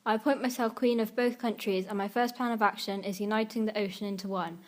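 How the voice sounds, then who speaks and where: A storybook mother who has become a queen, acted by a woman. She is loving but stern, with a mid-pitched voice and a firm, declarative way of speaking. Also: steady tone, fast talker, close to an everyday voice. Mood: proud, commanding. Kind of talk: children's audiobook character acting.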